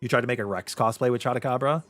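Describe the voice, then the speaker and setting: A man with a light voice, often taken for a girl's, chatting casually with viewers as a VTuber streamer, animated, with quick laughs. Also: mid-range voice, no echo, faint music behind. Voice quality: light voice he says is mistaken for a girl's. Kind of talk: casual livestream chat with viewers.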